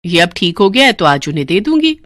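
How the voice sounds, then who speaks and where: A woman's voice: lowish voice, sing-song, brisk, fairly bright tone, dry recording. Lady doing a mouthy defensive wife for a cartoon story, sarcastic, voice jumps up and down, very dry sound. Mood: evasive. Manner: sarcastic, scolding, dramatic retorts. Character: sharp-tongued, defensive working-class wife, brazenly evasive. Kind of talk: Hindi cartoon story dialogue.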